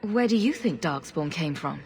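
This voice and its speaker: An actress playing a principled, protective, level-headed young heroine in a video game, direct and articulate. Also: female voice, sits low, lively intonation, moderate pace, darkish tone. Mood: challenging. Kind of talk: dramatic video game acting.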